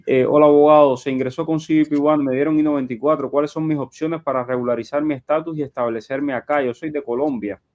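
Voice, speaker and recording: A man's voice: a little high, steady tone, fast, few pauses, a little mellow, dull audio. A man hosting a live question-and-answer show, reading audience questions aloud in a conversational way. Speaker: live show host and journalist.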